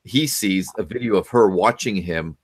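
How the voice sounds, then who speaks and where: A man's voice: sits low, normal speed. A middle-aged man giving parenting advice over a video call from a home office, lecturing and asking many questions. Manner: lecturing, asks many questions, frequent fillers. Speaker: parenting expert guest.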